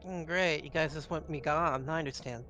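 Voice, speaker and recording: A woman's voice: low-pitched, brisk, a bit bright. A woman playing a tabletop roleplaying game, speaking casually in character. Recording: faint music behind the voice.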